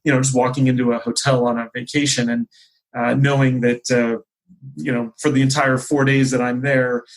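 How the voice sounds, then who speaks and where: A man speaking as a podcast guest in a conversational business discussion, explaining ideas in measured, hesitant phrasing. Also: mid-range voice, flat delivery, rough recording. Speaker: podcast guest, marketing executive.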